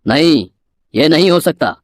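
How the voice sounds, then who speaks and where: A man's voice: fairly high pitch, expressive, measured pace, no echo, studio quality. A man playing a greedy, bullying village moneylender in a cartoon moral story, smug and menacing in manner. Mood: demanding, smug.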